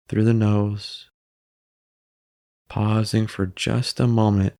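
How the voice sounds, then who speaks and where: A man narrating a guided meditation in a calm, unhurried way, pausing between short phrases. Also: on the low side, gravelly, no room sound, studio quality.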